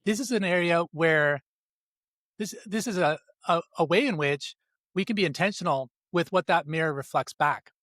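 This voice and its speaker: A man's voice: a little high, moderate pace, a bit bright, no room sound, clean studio sound. A man hosting a podcast, asking curious, rambling questions with many fillers. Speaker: podcast host. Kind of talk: casual podcast interview.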